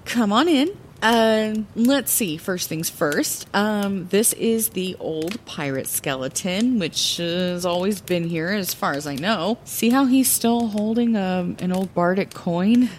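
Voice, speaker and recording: A woman playing Zellera, a scrappy, chatty lighthouse keeper, telling a fantasy audio drama bedtime story with hesitant, expressive asides. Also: mid-range voice, takes it slowly, hardly any pauses, slight background noise. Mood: chatty, rambling.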